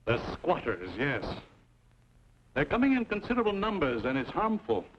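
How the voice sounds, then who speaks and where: A self-important, scheming frontier money man, formal in speech with a slightly high, widely swinging pitch. The radio drama recording is muffled and faintly noisy. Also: wildly swooping pitch, moderate pace, fairly bright tone. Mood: coldly scheming. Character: smooth, scheming, powerful frontier banker. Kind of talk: old-time western radio drama acting.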